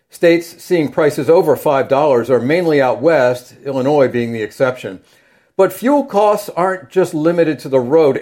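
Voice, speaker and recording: A man, a news bureau chief, delivering a measured political news minute. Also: mid-range voice, darkish tone. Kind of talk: news report.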